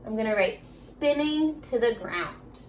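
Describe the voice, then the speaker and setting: High, animated woman teaching young children. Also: unhurried, a little hiss or hum. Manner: encouraging, questioning, speaking directly to young pupils. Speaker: elementary school teacher. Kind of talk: children's remote reading lesson, alternating slow read-aloud and chatty prompts.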